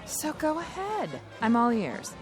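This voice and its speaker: A woman's voice: sits high, big pitch swings, measured pace, a crowd in the background. Flippant, swooping party-girl wife. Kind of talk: audio drama acting with period slang. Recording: music behind the voice.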